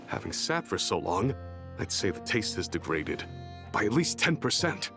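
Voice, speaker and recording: A man's voice: fairly low pitch, big pitch swings, normal speed, music in the background. An actor playing an earnest, fussy male caravan worker in a video game, explaining things precisely. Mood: matter-of-fact.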